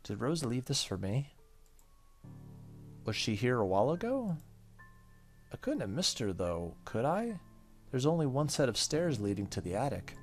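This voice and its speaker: A man hosting a gaming video, reading the story aloud and dropping in casual reactions. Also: fairly low pitch.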